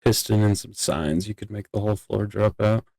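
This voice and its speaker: A man's voice: fairly low pitch, steady tone, normal speed, vocal fry, warm tone. A man chatting casually as a player in an online game roleplay. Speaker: roleplay gamer.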